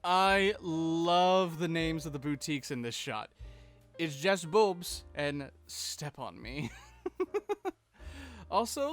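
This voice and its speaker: A man co-hosting a fan breakdown, giving recap commentary in a playful, excitable and cheeky way. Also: sits high. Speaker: co-host of a fan breakdown show.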